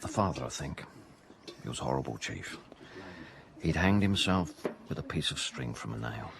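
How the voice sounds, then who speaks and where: An actor playing a sombre, shaken junior police officer reporting to his chief in a radio drama, with a gravelly voice. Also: very deep voice, lively intonation, moderate pace, crowd noise, exaggerated voice.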